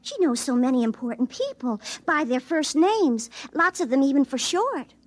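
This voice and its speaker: An American actress playing a prim, scolding small-town sweetheart in a 1950s radio drama. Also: female voice, high voice, very animated, normal speed, no room sound. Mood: huffy. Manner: scolding, primly emphatic. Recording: vintage radio broadcast.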